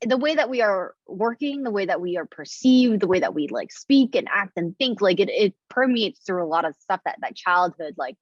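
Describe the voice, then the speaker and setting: A woman's voice: mid-range voice, lively intonation, moderate pace, few pauses, no echo, dull audio. A woman in her thirties from Indonesia, talking in a reflective, casual way with many fillers and restarts. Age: 30s. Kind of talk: casual conversation.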